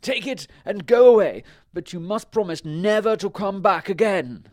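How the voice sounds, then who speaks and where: A man voicing a commanding storybook wizard in a loud, incantatory voice. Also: high voice, no room sound, studio quality, voice pushed up, lightly acted. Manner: loud, slow, raised, incantatory voice.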